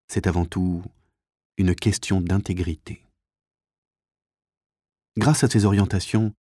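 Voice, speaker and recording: Male audiobook narrator, fairly low voice, articulate and measured literary read, close dry studio-like sound with no room. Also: darkish tone, no echo.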